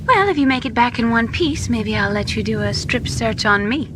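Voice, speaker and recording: A woman's voice: expressive, normal speed, close to an everyday voice. A woman voicing a coolly flirtatious military doctor in a video game, with a teasing manner. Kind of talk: video game cutscene acting. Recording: noisy rumble behind the voice.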